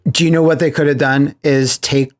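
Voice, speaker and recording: A man's voice: a little high, flat delivery, fairly quick. A man co-hosting a podcast, chatting casually and animatedly about movies, often acting out imagined reactions. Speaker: podcast co-host.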